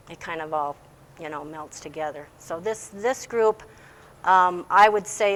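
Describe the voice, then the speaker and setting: A woman's voice: fairly low pitch, fairly bright tone, low-quality recording. A woman teacher giving plain-spoken, informative answers in an interview, explaining how things work. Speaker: English language teacher. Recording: faint background noise.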